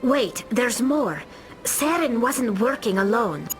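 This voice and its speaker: A woman's voice: a little high, very animated, takes it slowly, heavily acted. An actress playing a young alien pilgrim in a video game, earnestly explaining what she has learned. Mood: grave, explaining. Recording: loud music behind dialogue. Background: music.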